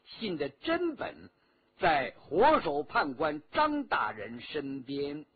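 A smug, cunning agent as a male storyteller plays him talks slowly and deliberately with veiled menace. His voice is husky, and the recording is dull and lo-fi. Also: a little high, wildly swooping pitch, voice pushed down, exaggerated voice. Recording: dull, lo-fi audio.

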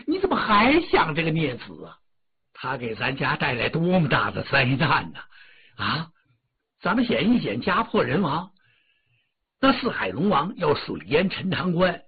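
A man voicing Li Jing, a stern, gruff father who scolds his wife. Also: medium pitch, dull audio, exaggerated voice.

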